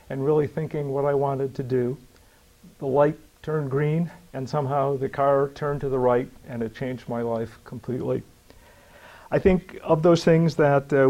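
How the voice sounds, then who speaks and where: An older man telling his own story in a measured, reflective way, with fillers and a slight stammer in places. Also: mid-range voice, gravelly, mellow, muffled recording. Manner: measured, thoughtful, with pauses and fillers.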